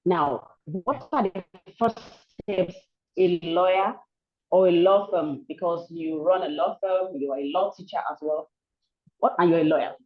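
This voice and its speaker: Nigerian lady hosting a webinar panel, lowish voice, dull audio. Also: measured pace. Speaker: moderator. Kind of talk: webinar moderation.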